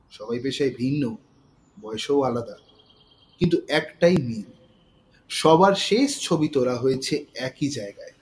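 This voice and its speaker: Guy narrating a suspense story, dramatic and measured, medium pitch, bit of background noise. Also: a little mellow, poor audio. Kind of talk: dramatic scripted thriller narration.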